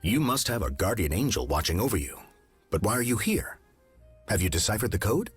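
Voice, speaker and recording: An actor playing a serious, shrewd detective in a mystery game, speaking in a measured, deliberate way. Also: male voice, on the low side, very animated, husky, faint music behind, exaggerated voice. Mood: curious. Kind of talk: video game character acting.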